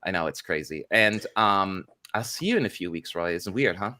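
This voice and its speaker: A chatty man hosts a panel on a video call, his voice bright and full of lively rises and falls. He talks loosely, with plenty of fillers and "y'all", into a mic at home. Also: deep voice, a little fast. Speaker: host. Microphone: studio mic on a video call.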